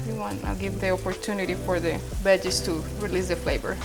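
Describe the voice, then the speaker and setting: A woman's voice: medium pitch, steady tone, quick pace, music in the background. Chatty Colombian woman hosting a cooking video. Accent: Colombian Spanish. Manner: warm, chatty, guiding viewers step by step. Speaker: cooking channel host. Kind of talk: cooking vlog demonstration. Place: home kitchen.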